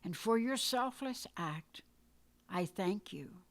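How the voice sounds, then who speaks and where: A woman voicing a gentle, solemn holy man in a poetic story reading, speaking slowly and tenderly. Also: medium pitch, lively intonation. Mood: tender, grateful.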